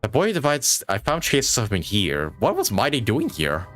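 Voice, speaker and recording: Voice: mid-range voice, huge pitch swings, normal speed, a bit bright. A video game streamer giving casual live commentary on the story as it plays. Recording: faint game music behind the voice.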